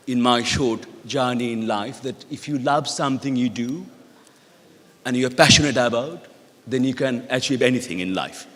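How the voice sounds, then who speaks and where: A middle-aged man with a Bangladeshi accent, a native Bengali speaker, gives a motivational ceremony speech as a guest, in measured, halting short phrases. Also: medium pitch, a bit bright. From rural Bangladesh. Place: large indoor event hall. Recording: echoey hall with long reverb.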